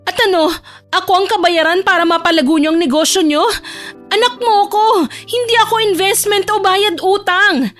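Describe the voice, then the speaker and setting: Babaeng gumaganap bilang mataas ang boses na dalagang anak, palaban. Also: female voice, very high-pitched, normal speed, a bit bright, faint music behind, voice pushed up. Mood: angry defiance. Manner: emotional, protesting. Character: pleading, defiant young daughter of a cruel father.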